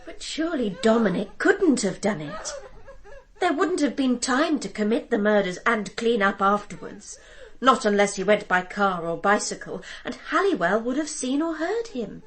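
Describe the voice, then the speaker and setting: A woman's voice: fairly high pitch, expressive, moderate pace, natural voice. An actress playing an anxious, well-bred woman reasoning aloud in a radio drama. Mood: worried reasoning.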